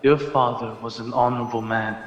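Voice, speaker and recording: An actor playing a sincere, respectful army lieutenant in a radio drama, speaking formally. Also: male voice, medium pitch, flat delivery, a little fast, reverberant, big-room sound, lightly acted, rough recording.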